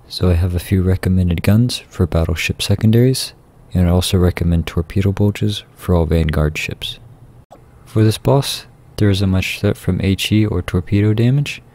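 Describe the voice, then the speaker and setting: A man reading a scripted video game guide in a measured, instructional way. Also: low voice, a little mellow. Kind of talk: scripted guide narration over gameplay.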